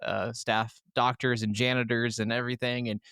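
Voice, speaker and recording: A man's voice: mid-range voice, flat delivery, moderate pace, fairly bright tone, smooth, no echo, studio quality. A man co-hosting a podcast, chatting casually about video games. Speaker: podcast co-host.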